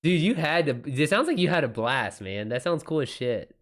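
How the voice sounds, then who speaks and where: A man's voice: fairly high pitch, big pitch swings, normal speed. Casual, sweary male livestreamer. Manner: informal, sweary, slangy. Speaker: livestream host, author taking writing questions. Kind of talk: casual livestream chat, reading and answering messages.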